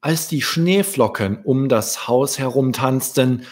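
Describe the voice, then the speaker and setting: A man's voice: mid-range voice, slow, darkish tone. A man in his thirties from Germany reading his own children's story as a narrator, close to a microphone. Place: small wood-panelled room indoors. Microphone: desk microphone close in front.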